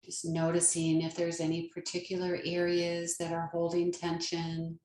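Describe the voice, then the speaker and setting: A woman leading a guided meditation as a yoga nidra teacher, speaking gently and soothingly with long pauses. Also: lowish voice, monotone, reverberant, poor audio.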